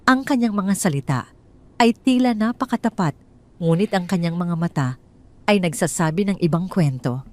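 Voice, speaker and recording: A woman with a Filipino accent reading a scripted love drama as a storyteller, with measured, dramatic delivery. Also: medium pitch, darkish tone. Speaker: storyteller, narrator.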